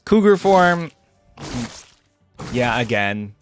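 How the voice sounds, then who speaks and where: A man's voice: mid-range voice, sing-song, extremely slow, soft background music. A man giving casual, off-the-cuff game reaction commentary, rambling as he thinks aloud. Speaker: reaction video host.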